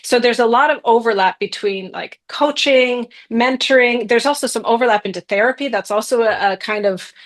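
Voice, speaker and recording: A woman with an American Midwestern accent, a guest executive coach speaking in a thoughtful, articulate conversational way on a podcast interview. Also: a little high. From Columbus, Ohio, USA. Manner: articulate, thoughtful, quick to laugh.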